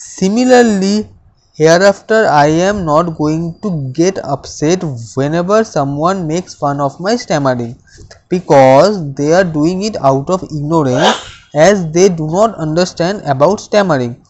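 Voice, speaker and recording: A speaker, reading self-affirmations aloud slowly and deliberately, stammering with repeated words and restarts. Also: mid-range voice, darkish tone, a little hiss or hum. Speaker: speech practice coach.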